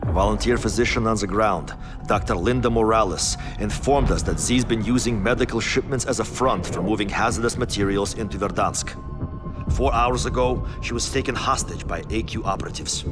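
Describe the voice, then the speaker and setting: An actor playing a grave Russian military sergeant with a Russian accent, giving a measured, formal mission briefing in a video game. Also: male voice, lowish voice, music in the background, natural voice. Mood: serious, briefing. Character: grave Russian military sergeant, adult man, dutiful commander.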